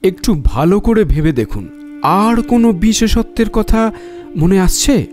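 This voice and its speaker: A man's voice: sits high, expressive, unhurried, a little mellow, faint music behind. A man acting a shrewd, courteous private detective in an audio drama, probing and decisive in his talk.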